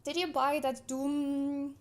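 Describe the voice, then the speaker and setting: A high-pitched woman, talking to her viewers in an unhurried, easygoing way. She reads their comments aloud and drifts into long, chatty stories, laughing often as she goes. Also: level tone. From Switzerland. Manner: chatty, rambling storyteller who laughs often. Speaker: livestreamer. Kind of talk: casual chat, reading viewer comments aloud.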